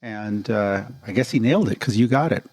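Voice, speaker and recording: A man's voice: on the low side, fairly quick, a little mellow, no room sound. A man hosting a podcast, enthusiastic and rambling, often restarting his sentences. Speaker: podcast host. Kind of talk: casual chat.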